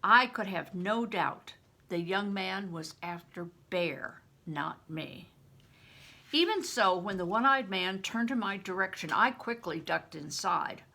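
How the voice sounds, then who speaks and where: An older woman reading a story aloud as a narrator, with deliberate, emphatic expression. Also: mid-range voice, expressive, gravelly, sharp tone. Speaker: storybook reader, retired reading teacher. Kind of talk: expressive scripted audiobook narration.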